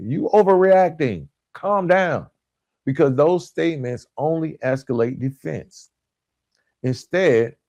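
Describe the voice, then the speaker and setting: A man delivering a motivational self-help podcast talk as a coach, with emphatic, deliberate phrasing and frequent check-in tag questions. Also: on the low side, a little mellow, no echo. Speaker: podcast host and relationship coach.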